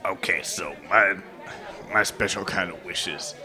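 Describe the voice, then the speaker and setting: A man's voice: low-pitched, a little slow. A man playing a leering, diapered Baby New Year who stumbles through his words in an improvised live comedy bit. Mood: lewd, coaxing. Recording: music and crowd chatter behind the voice.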